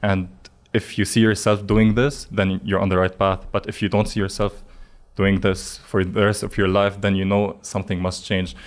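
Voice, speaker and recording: A young man in his early twenties from Lebanon, speaking as a podcast guest. His voice sits very low and stays flat, and he talks earnestly, stopping often to restart a thought. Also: normal speed. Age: 20s. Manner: earnest, many fillers and restarts. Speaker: guest, digital marketing specialist. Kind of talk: casual podcast interview.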